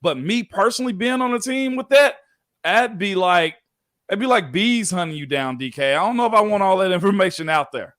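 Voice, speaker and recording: A man's voice: high voice, expressive, brisk, a bit bright, no room sound, clean studio sound. A man in his thirties, a former football player, co-hosting a sports talk show in a laid-back, chatty way.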